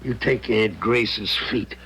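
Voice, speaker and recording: An actor playing a bossy, impatient, greedy small-time crook in a 1970s radio drama, brusque and slangy. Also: male voice, fairly low pitch, slow pace, fairly bright tone, breathy edge, exaggerated voice. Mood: scheming, commanding. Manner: brusque, slangy, ordering his partner around. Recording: noisy 1970s radio broadcast recording.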